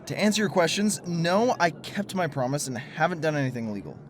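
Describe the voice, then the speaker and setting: A man's voice: a little high, animated, brisk, background noise, lightly acted. An actor playing an exhausted male conspiracy theorist who stammers, laughs nervously and refuses to back down. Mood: explaining. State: exhausted from lack of sleep. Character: sleepless male conspiracy theorist, stubborn, principled. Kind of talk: audio drama acting.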